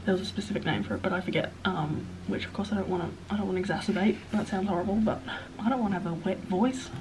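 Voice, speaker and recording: A woman in her early twenties with an Australian accent, talking casually to camera with a stuffed-up, nasal voice, rambling and self-deprecating, sometimes dropping to a soft whisper. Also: on the low side, quick pace, background noise. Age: 20s. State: sniffly, stuffed-up nose. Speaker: vlogger.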